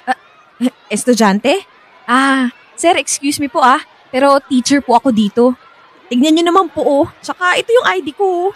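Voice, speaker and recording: High-voiced, timid young schoolteacher. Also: female voice, lively intonation, moderate pace. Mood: polite, flustered. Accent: Filipino. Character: timid, polite young female schoolteacher, easily frightened. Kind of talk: Filipino radio drama acting.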